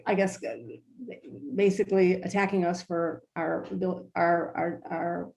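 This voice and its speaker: Warm-voiced woman, earnest and halting. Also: on the low side, little pitch movement, creaky, rough recording. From Michigan, USA. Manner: many fillers and restarts, earnest. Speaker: travel company CEO introducing a virtual tour. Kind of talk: casual spoken introduction.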